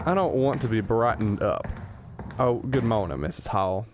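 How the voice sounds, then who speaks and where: A man's voice: lowish voice, animated, moderate pace, over music, natural voice. A man playing a cranky, rueful old professor in a comedic radio drama, grumbling in a plain, folksy, self-deprecating way. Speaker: student radio drama actor.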